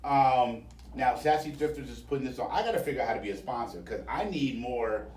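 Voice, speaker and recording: A man's voice: mid-range voice, a little fast, darkish tone, slight background noise. American guy doing a loose radio interview, echoey and a bit far from the mic. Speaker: radio host. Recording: roomy, echoing, distant microphone.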